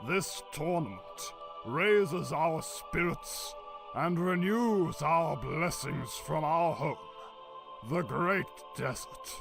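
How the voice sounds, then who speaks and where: A man's voice: on the high side, vocal fry. A man voicing a pompous male desert ruler who speaks with grand ceremony and fawns over his guests, in a fan radio drama. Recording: music underneath.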